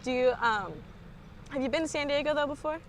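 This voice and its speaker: A woman's voice: sits high, fairly quick, slight background noise. A young woman hosting a casual college radio interview, chatty and loose with fillers.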